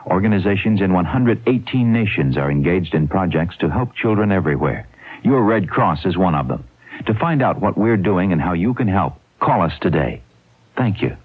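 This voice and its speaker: A man announcer reading a public service appeal with solemn, measured phrasing. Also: deep voice. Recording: very noisy, tinny old radio broadcast.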